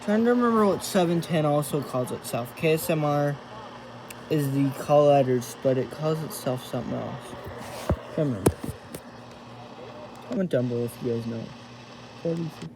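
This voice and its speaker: A man giving a casual hands-on product review, thinking aloud in a halting way as he demonstrates. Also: fairly high pitch, expressive. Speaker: amateur gadget reviewer. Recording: noisy homemade recording with radio static.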